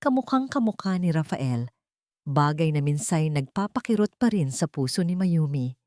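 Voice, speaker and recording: Expressive Filipino woman narrator, slightly low voice. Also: moderate pace, no room sound, studio quality. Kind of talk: dramatic scripted story narration.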